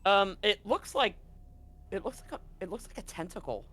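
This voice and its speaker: A man acting as game master, narrating a tabletop roleplaying game in a deliberate, halting way. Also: high voice, lively intonation, fairly bright tone.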